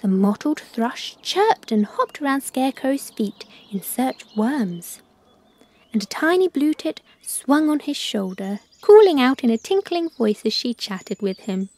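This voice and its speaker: A woman reads a children's story in a high, lilting voice. Her delivery is slow and careful, with lively expression. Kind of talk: scripted storybook narration.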